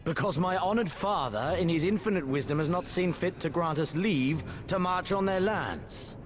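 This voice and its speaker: A man's voice: on the high side, normal speed, music in the background, lightly acted. A man playing a cruel, haughty imperial prince in a video game, speaking with languid, theatrical menace. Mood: mounting contempt. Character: cruel imperial crown prince commander, bored predatory huntsman. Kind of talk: dramatic video game cutscene acting.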